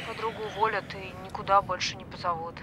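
An actress playing a chatty, apologetic young doctor who moonlights as a stripper, hesitant and informal in a naturalistic audio drama. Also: female voice, medium pitch, fairly even intonation, normal speed, noisy background, lightly acted. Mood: pleading, anxious.

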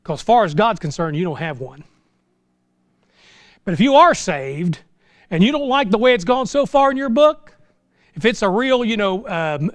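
Man, American accent, middle-aged, high-pitched voice, informal sermon, animated folksy exhortation, dry sound. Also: no room sound. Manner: folksy, exhortative, with rhetorical questions. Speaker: pastor preaching. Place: small church sanctuary, indoors.

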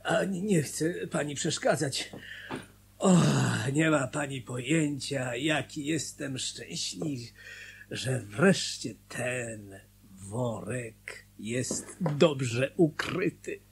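Vengeful stranger, radio drama, slyly polite, medium pitch, unhurried. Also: male voice, creaky, noisy background. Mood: fussily polite. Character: vengeful, embittered foreign traveller, slyly polite.

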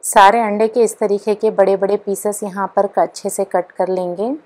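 A woman hosting her cooking channel, narrating a recipe step by step in a calm, instructional voice-over. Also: medium pitch, normal speed.

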